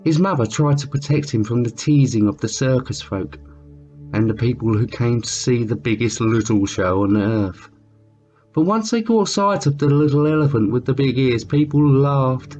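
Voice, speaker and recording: A man reading a children's story aloud as a storyteller, with theatrical, drawn-out emphasis. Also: medium pitch, expressive, a little mellow. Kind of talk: expressive read-aloud storytelling. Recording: muffled lo-fi sound with music behind. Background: music.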